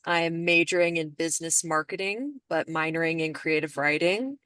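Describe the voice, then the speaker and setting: Young woman, student podcast co-host; lowish voice, casual talk, dry close recording. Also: moderate pace, fairly bright tone, dry recording. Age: young adult. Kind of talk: casual introduction and chat.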